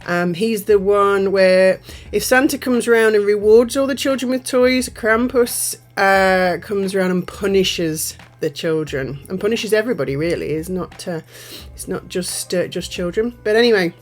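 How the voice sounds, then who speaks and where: A woman, a little nasal and bunged up from a cold, chatting casually through a nail art tutorial voiceover. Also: mid-range voice. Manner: chatty, rambling, self-correcting. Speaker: nail art channel host.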